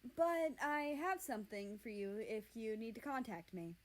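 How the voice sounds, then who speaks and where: A woman voicing a friendly, considerate male healer in a fantasy storybook, explaining things gently. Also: a little high, lively intonation, measured pace.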